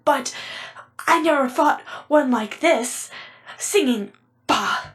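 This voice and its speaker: A woman's voice: sits high, animated, slow, a bit bright, pitched above the performer's own voice, heavily acted. A woman voicing a blustery, good-hearted, plain-spoken male lion in a storybook. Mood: exhausted, disgusted. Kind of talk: dramatic storybook reading.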